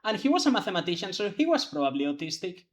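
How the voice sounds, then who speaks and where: A young adult law student talking in loose, casual chat. The delivery rambles and circles back, padded with hedges and fillers, at an ordinary pitch for the voice. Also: sing-song, racing. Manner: rambling, hedging with many fillers. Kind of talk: casual chat among players.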